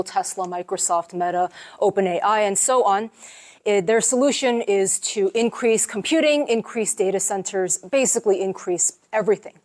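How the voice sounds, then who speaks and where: A woman lecturing at a university seminar, explaining research with a relaxed, conversational delivery. Her voice sits at a middle pitch, and she often pauses on small fillers as she walks the audience through her slides. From United States. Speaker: professor giving a seminar.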